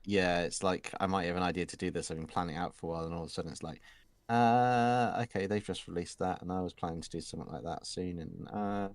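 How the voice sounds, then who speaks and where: A man with a low, deep voice chats casually while drawing on a stream. He laughs often and trails off mid-sentence. The sound is dry and close, with no room echo. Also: fast talker. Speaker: art streamer.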